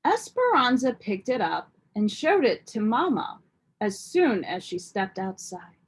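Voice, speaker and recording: Female storybook reader: animated, deliberate delivery with a husky edge, narrating for children. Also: on the high side. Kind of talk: expressive children's read-aloud narration.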